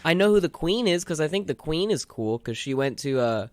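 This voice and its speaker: A man's voice: a little high, big pitch swings, fairly quick, darkish tone, no echo, studio quality. A man co-hosting a podcast in casual, slangy chat, telling anecdotes and acting out imagined dialogue. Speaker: podcast co-host.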